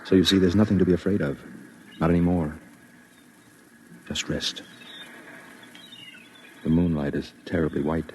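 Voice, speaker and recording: A man's voice: low-pitched, steady tone, moderate pace, pauses often, darkish tone, a little hiss or hum, natural voice. A man acting an earnest, lonely reporter in an old-time radio drama, with a solemn, literary delivery. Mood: tender. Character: earnest lonely male reporter narrating and pleading. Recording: vintage radio drama with music behind.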